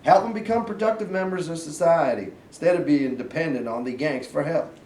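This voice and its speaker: A man in a staged play reading, playing a domineering, folksy Southern authority figure who gives gruff commands. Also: a little high, a little fast, a little mellow, close to an everyday voice. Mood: commanding, persuasive. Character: blustering Southern sergeant turned governor and stern father. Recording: distant echoey room, faint noise.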